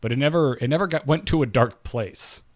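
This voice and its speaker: A man's voice: mid-range voice, brisk. A man co-hosting a gaming podcast, telling stories in a chatty way with many restarts. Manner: chatty storyteller, stammers and restarts. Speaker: podcast co-host. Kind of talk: casual gaming podcast talk.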